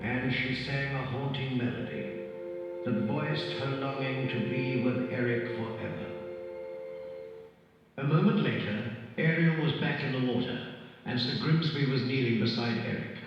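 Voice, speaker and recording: A man's voice: mid-range voice, a little slow. A man narrating a children's storybook in a scripted read-along style. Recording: echoey, distant, dull sound under music.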